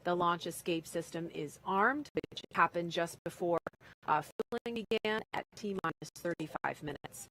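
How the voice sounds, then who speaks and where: A woman hosting a live launch broadcast, giving technical commentary in a measured, explanatory way. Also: lowish voice, a bit bright, rough recording. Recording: broadcast feed with clear background noise.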